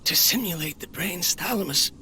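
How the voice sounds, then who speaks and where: A man's voice: high voice, lively intonation, moderate pace, bright, forceful tone, slight background noise, full character voice. An actor playing a lonely, weary male inventor in a video game, speaking in an earnest, wistful monologue. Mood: wistful, resigned. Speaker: researcher character in a game. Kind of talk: dramatic video game voice acting.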